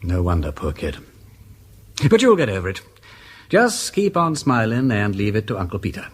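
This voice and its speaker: Posh english lord detective, chatty and whimsical, deepish slightly rough voice, old slang, radio play. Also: male voice, very animated, moderate pace. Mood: reassuring. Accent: upper-class English. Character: flippant, lovestruck aristocratic gentleman sleuth, chattering wittily. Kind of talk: British radio drama acting.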